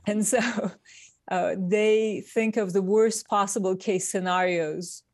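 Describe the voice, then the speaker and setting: A woman from Turkey, a guest designer, speaking in a thoughtful, unhurried way in a casual interview over a remote call. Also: medium pitch, steady tone, a little mellow.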